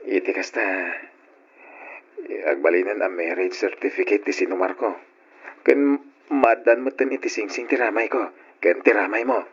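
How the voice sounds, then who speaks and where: A man's voice: fairly low pitch, steady tone, normal speed, long pauses, lightly acted. An actor playing an earnest young man in love in an emotional old radio drama. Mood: wistful, hopeful. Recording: dull lo-fi old radio recording.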